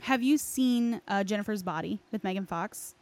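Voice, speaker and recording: A woman talks casually in a podcast conversation. Her voice sits at a middle pitch in a very dry recording. She feels her way through sentences with plenty of filler words. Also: moderate pace, darkish tone, no echo. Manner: hesitant phrasing with frequent "like". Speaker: podcast speaker. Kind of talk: casual conversation about game lore.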